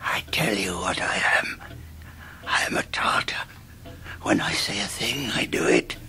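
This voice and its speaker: An English actor playing a tyrannical middle-aged headmaster in a radio drama, with a hoarse rasping whisper and a slow, threatening emphasis. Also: male voice, fairly low pitch, sharp tone, heavily acted. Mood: quietly menacing. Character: menacing, tyrannical middle-aged male boarding-school headmaster. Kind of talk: 1990s British radio drama acting.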